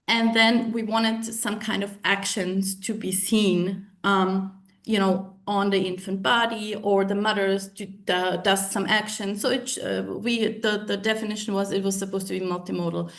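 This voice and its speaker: A woman's voice: mid-range voice, steady tone, normal speed, hardly any pauses. A woman from the Republic, presenting research at a lab meeting, hesitant with many fillers and restarts. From Czech Republic. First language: Czech. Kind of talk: academic presentation with Q&A.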